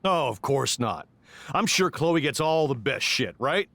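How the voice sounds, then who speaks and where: A man's voice: mid-range voice, lively intonation, unhurried, gravelly, a bit bright, no room sound, full character voice. An actor playing a stern, suspicious middle-aged ex-soldier stepfather in a video game, accusatory and authoritarian. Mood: bitter, scolding.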